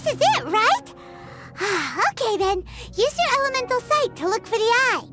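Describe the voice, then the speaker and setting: A woman voicing a small, excitable childlike fairy companion with a squeaky voice in an anime-style video game. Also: normal speed. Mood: eager explaining. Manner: exclamatory, expressive, refers to self in third person. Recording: studio voice under prominent background music. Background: game music.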